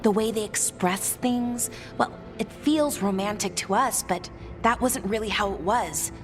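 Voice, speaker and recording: Young female elf scholar acted, medium pitch, earnest game dialogue, clear music underneath. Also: unhurried, natural voice. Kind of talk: fantasy video game dialogue. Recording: music behind.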